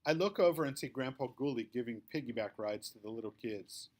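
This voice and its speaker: A man's voice: medium pitch, expressive, fairly quick. Man reading a children's book aloud. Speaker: read-aloud storyteller. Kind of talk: scripted children's book read-aloud, first-person narration.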